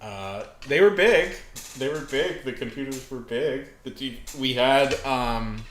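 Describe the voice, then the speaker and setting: Man, thirties, sharp bright voice, rambling livestream chat, excitable, self-deprecating. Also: mid-range voice, measured pace, reverberant, a little hiss or hum. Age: 30s. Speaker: livestream host.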